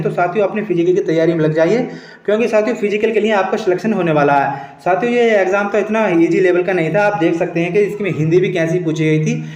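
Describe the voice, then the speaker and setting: A man's voice: sits high, a little mellow. A man presenting an exam update as a coaching host, explaining in a repetitive way and often addressing his listeners directly. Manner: repeatedly addresses listeners as friends, repeats points for emphasis. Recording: dull, lo-fi, echoey room recording.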